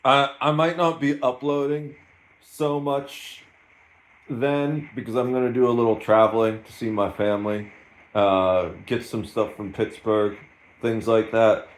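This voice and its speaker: A man's voice: mid-range voice, fairly even intonation, a little slow, poor audio. A man in his thirties talking in a rambling, self-mocking way in a casual solo vlog. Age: 30s. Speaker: streamer.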